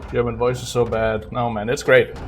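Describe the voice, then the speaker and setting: A man giving casual, halting live commentary as he streams a video game speedrun. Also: mid-range voice, flat delivery, slight background noise.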